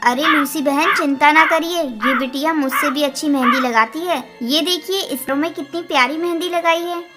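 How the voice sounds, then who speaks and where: A woman's voice: high voice, moderate pace, loud music behind the voice, natural voice. A woman voicing a kindly, motherly female parrot in a cartoon, speaking in a caring, earnest way. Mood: cheerful, reassuring. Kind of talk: Hindi cartoon dialogue.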